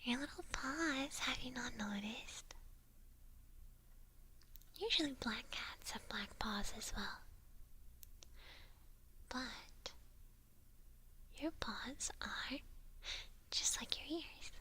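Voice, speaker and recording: A woman playing a doting, teasing witch in a softly whispered ASMR roleplay. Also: fairly high pitch, heavily acted. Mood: doting, playful. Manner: slow, soft, gently teasing. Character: teasing, doting female witch tending her new cat familiar.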